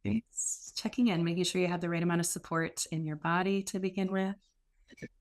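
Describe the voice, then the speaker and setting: A woman leading a guided meditation as a teacher, speaking in an unhurried, gently instructive way with pauses. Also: fairly low pitch, flat delivery.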